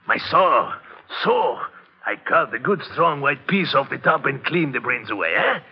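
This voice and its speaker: An actor playing a mad, gleeful old man with a French accent and a raspy, leering, wheedling voice, in old-time radio horror style. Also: on the high side, big pitch swings, heavily acted. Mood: gleeful, demonstrating. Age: older. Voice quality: raspy, croaking. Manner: leering, wheedling, singsong with slow drawn-out phrases. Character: mad, gleeful old Frenchman who carves human bones in sewers. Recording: muffled old radio drama recording.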